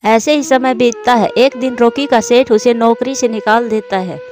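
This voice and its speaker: A woman's voice: a little high, steady tone, normal speed, darkish tone, soft background music. A woman narrating a scripted children's story as a storyteller.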